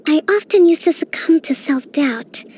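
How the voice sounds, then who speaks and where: An actress voicing a young female island priestess and military strategist in a game, speaking in a gentle, composed, softly playful way. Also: high-pitched, expressive, normal speed, a little hiss or hum. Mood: resolute. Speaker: game character. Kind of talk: game voice lines.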